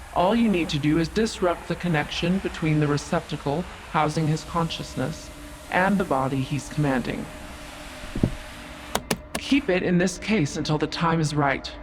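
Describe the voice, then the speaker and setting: A woman playing a proud, cunning otherworldly female stranger in an audio drama, speaking in a measured, formal, dryly sarcastic manner. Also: deep voice, a little hiss or hum, natural voice. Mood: matter-of-fact, instructive. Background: prominent music.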